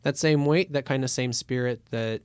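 A man in his thirties, born in Moscow, talking thoughtfully as a guest with many pauses and fillers. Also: mid-range voice, clear, resonant tone, no echo. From Russia. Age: 30s. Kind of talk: casual interview talk.

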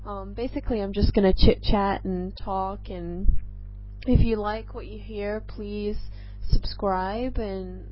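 A woman's voice: mid-range voice, steady tone, takes it slowly. A young woman from England, with a mixed accent that sounds mostly American, hosts her podcast as a casual solo chat. She talks nervously and rambles, with lots of ums. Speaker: podcast host. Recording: dull lo-fi recording, faint music.

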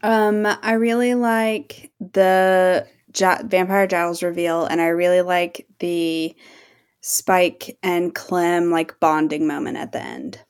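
A woman with a fairly low voice, talking as a podcast panelist. She speaks very slowly and casually, hesitating as she gathers her thoughts. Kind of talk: casual chat.

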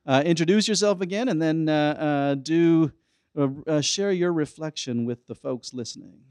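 A man hosting a church podcast in a casual chat, relaxed and rambling with many fillers. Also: fairly high pitch, moderate pace, clean tone, dry recording, studio quality. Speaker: podcast host.

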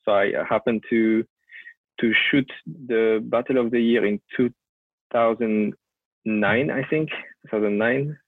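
A man's voice: medium pitch, steady tone, measured pace, dry recording. French-accented man on a tinny call line. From France. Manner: hesitant, repeats words while searching for English. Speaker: guest photographer. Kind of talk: casual interview. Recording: tinny call audio, dry.

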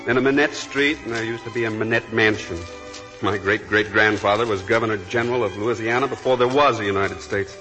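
A man's voice: lowish voice, a little fast, bright, forceful tone. An actor playing a restless, self-mocking young man with a glib, teasing way of talking, in a 1940s radio drama. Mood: bitter, self-mocking. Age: young adult. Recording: vintage 1940s radio broadcast, music behind.

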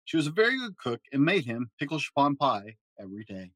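A man reading a children's fairy tale aloud in a gentle storytelling manner. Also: sing-song, fast, no echo. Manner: gentle storytelling with varied pitch for emphasis. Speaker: storybook reader.

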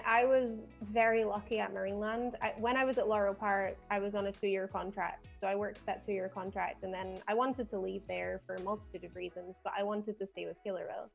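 A young woman with a softened Glaswegian lilt talks in an earnest, chatty way, laughing easily as she goes. Her voice sits at an ordinary middle pitch. The sound is a little dull and lo-fi, with faint music underneath. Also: steady tone, moderate pace, gravelly. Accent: softened Glaswegian Scottish. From Scotland. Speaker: podcast guest. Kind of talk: casual chat.

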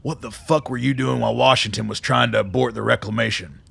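Guy playing tough army colonel in a radio play, super gravelly, forceful, blunt and bossy. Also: mid-range voice, fairly even intonation, fast talker, no echo, full character voice. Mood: angry, forceful. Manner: gruff, authoritative, blunt. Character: hard-bitten, commanding, profane Texas army colonel. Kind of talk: radio drama acting.

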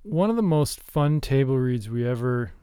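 A man co-hosting a podcast, talking about screenwriting in an earnest, halting, deadpan comedic way. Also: mid-range voice, smooth, no echo, studio quality. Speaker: podcast co-host.

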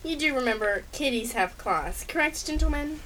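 A woman's voice: sits high, expressive, moderate pace, a bit bright. A woman voicing a sassy, mean pet cat with a sarcastic, haughty manner in an amateur radio comedy. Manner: sarcastic, haughty, reading from a script. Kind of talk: amateur old-time radio comedy drama. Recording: distant mic with faint background noise.

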